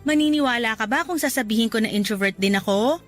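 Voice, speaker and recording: A woman's voice: fairly high pitch, fast talker, close to an everyday voice. A woman with a Filipino accent playing a playful, encouraging young volunteer in a conversational radio drama. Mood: friendly, encouraging. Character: friendly, encouraging, outgoing young woman volunteer, a self-described introvert. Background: background music.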